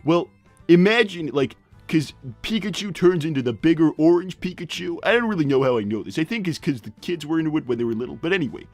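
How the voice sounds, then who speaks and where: Man voicing a brash, animated cartoon hedgehog dad. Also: a little high, no echo, faint music behind. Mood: smug, teasing. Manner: rambling, halting, blunt, full of tangents. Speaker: character host reading viewer messages. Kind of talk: improvised in-character livestream comedy.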